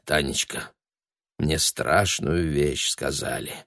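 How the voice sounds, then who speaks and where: A man's voice: mid-range voice, big pitch swings, gravelly, slightly rough, dry recording, studio quality, voice pushed up, full character voice. A man voicing an agitated shopkeeper and hustler in an audiobook, blustering and colloquial in manner. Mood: grave, hushed. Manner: blustering, quick to shout, colloquial.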